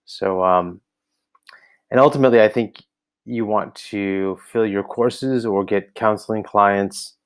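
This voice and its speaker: Low-voiced American man thinking aloud. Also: steady tone, a little slow, clean tone. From United States. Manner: hesitant, many ums and restarts, thinking aloud. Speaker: website reviewer for coaches. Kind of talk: casual spoken feedback to camera. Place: indoor room, seen on camera.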